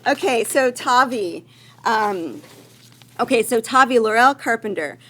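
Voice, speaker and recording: A woman's voice: a little high, animated, measured pace, a bit bright, a little hiss or hum. A woman speaking as the host of a student research conference, giving semi-formal remarks to the room in an encouraging manner. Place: live conference room with audience. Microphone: event microphone.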